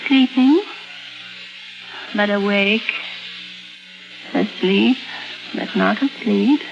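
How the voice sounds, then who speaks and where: A woman acting a fragile, tormented painter under psychiatric care in a 1950s radio drama, speaking in a halting, intense, dramatic way. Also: medium pitch, creaky. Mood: drowsy, dreamy. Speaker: actor. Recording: dull vintage radio recording with music behind.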